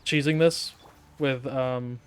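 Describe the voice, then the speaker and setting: A man's voice: medium pitch, fairly even intonation, slow pace. A man giving casual, slangy gameplay commentary in a laid-back way. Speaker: gaming streamer.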